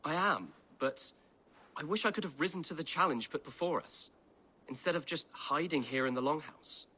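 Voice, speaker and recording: An actor playing an earnest, frustrated young warrior in a game cutscene, with a husky voice. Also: male voice, a little high, normal speed, pushed and bright. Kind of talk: video game cutscene acting.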